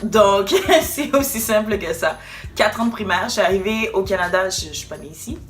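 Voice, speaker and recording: A woman's voice: medium pitch, moderate pace, soft background music. A young woman telling a personal story in a direct, emphatic way during a casual chat. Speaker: co-host.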